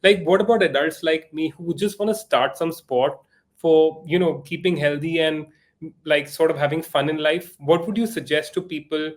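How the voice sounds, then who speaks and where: A man's voice: on the high side, normal speed. A man in his thirties hosting a podcast, asking casual, rambling questions full of fillers and self-deprecating asides. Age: 30s. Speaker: podcast host.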